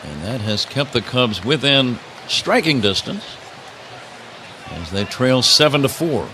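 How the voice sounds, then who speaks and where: A male radio play-by-play man calls baseball in a bright, forceful voice that sits a little low. His delivery swings widely in pitch as he follows the action, with steady outdoor ballpark ambience behind him. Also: a little slow, some chatter behind, a little hiss or hum. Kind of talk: live radio play-by-play commentary.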